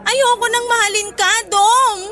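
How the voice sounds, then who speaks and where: Piercingly high, feisty young Filipina. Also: female voice, fairly even intonation, unhurried, over music. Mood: softening, exasperated. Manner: indignant, exasperated delivery. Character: hurt, feisty young woman giving in to her ex-boyfriend. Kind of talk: Filipino radio drama acting.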